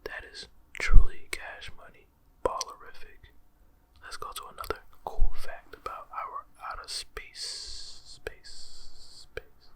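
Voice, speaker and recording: Slow, breathy whispering man. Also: bright, forceful tone. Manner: slangy, playful asides, repeats words; amazed reactions between facts. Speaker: ASMR creator. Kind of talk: whispered ASMR fact telling.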